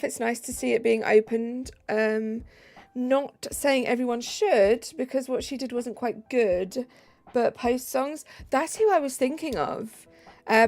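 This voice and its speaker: A woman's voice: on the high side, vocal fry. A woman from the south of England with a southern English accent, live streaming and chatting casually with viewers, reading out comments between fillers. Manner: chatty, reads out and answers chat, trailing fillers. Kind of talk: casual chat, answering viewer comments while gaming. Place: home room. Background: faint background music.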